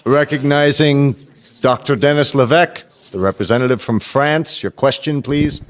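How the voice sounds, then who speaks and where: An actor playing a dignified, courteous United Nations official in a radio drama, formal and ceremonious. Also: male voice, mid-range voice, normal speed, close to an everyday voice. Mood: official, commanding.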